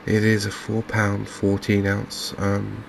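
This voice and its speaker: Slow, low-pitched, softly spoken man with gravelly creak. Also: monotone, background noise. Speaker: gaming channel host. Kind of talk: softly spoken ASMR game commentary.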